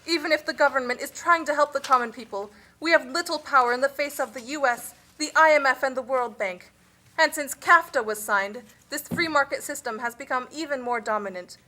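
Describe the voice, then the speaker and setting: A woman's voice: high voice, brisk, sharp tone. A woman playing the burdened spokesperson of a poor indebted country in an educational puppet show, earnest and recited. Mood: troubled.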